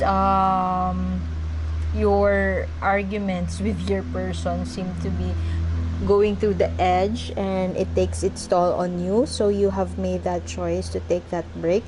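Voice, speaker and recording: A woman giving a casual tarot reading, speaking English as a second language and pausing often to search for words. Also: fairly low pitch, background noise. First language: not English. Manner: halting, searching for words, frequent ums. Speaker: tarot reader.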